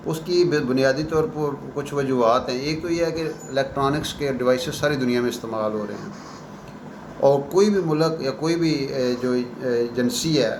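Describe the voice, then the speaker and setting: Echoey, noisy recording of a man commenting. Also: mid-range voice. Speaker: professor giving commentary. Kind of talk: extemporaneous commentary on current affairs. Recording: echoey room with clear background noise.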